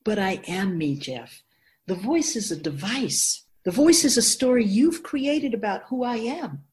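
A woman's voice: medium pitch, expressive, measured pace, a little mellow, lightly acted. A woman playing an assured immortal keeper of souls in a radio drama, explaining things in a composed, formal, didactic way. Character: feminine-voiced immortal spirit keeper of souls, assured and instructive.

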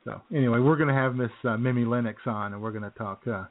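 A man's voice: mid-range voice, fast talker. A man co-hosting a casual radio show with jokey, rambling, slightly hesitant banter. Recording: thin phone-like audio.

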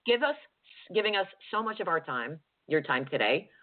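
A woman co-hosting a radio talk show, chatting warmly and laughing often. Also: fairly low pitch, big pitch swings, moderate pace. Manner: warm, effusive, laughs often. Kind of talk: casual radio chat. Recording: phone-like narrow band.

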